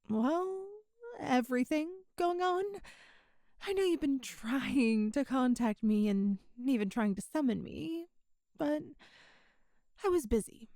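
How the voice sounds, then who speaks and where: A woman voicing an ancient female demon in an ASMR audio roleplay, tender, wise and regretful, speaking softly and intimately with sighs and hesitations. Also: high-pitched, vocal fry, no echo, clean studio sound, full character voice. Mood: apologetic, gentle, then explanatory, hesitant. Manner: soft, hesitant, intimate, sighing.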